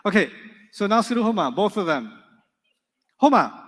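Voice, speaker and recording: A man with a fairly high voice teaches a live class. His first language is Urdu, and he keeps it playful, drilling students and telling stories. The sound carries a long reverb from a big lecture hall. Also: big pitch swings, moderate pace. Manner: playful, joking, quizzes students in call-and-response. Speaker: Arabic teacher.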